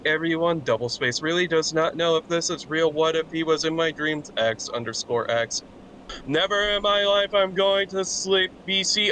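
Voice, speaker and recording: A man's voice: high-pitched, bright, forceful tone, slight background noise, rough recording. A man taking part casually in a stream, chatting and reading online comments aloud.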